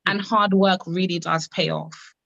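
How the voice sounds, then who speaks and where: A woman from Uganda, giving an emphatic, repetitive motivational pitch on a live call. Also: fairly even intonation, measured pace, vocal fry, fairly bright tone, lo-fi sound. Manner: emphatic, repetitive, testimony-driven. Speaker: wellness business coach on a live call.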